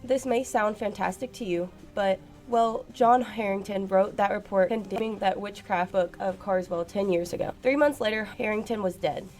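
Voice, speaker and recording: A woman plays an uneasy British secretary of a learned society in a radio drama. She is emphatic and easily irritated, with a medium-pitched voice over faint music. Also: normal speed, few pauses, a little mellow, lightly acted. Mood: ominous warning. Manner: emphatic, old-fashioned British turns of phrase. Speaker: actress. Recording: faint music behind a student radio play.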